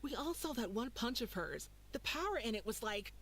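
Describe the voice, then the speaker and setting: A woman's voice: on the high side, very animated, moderate pace, a bit bright, slight background noise. A woman voicing a cheerful young townswoman who chats in an upbeat way in game dialogue. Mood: chatty. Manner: chatty, upbeat.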